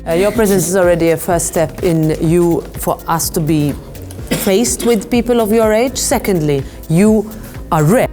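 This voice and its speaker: A woman politician speaking directly and encouragingly to young visitors, pausing now and then to find her words. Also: on the low side, over music. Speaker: European politician meeting children. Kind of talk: informal meeting talk.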